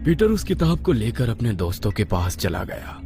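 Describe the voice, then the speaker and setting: A man's voice: on the low side, big pitch swings, normal speed, warm tone, soft background music. A man narrating a scripted horror story in a suspenseful, dramatic manner.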